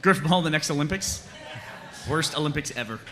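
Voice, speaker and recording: Fast, bright-voiced man on a panel. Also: on the high side. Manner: joking, self-deprecating. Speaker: panelist, show writer. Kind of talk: unscripted convention panel talk with audience questions. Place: convention panel room.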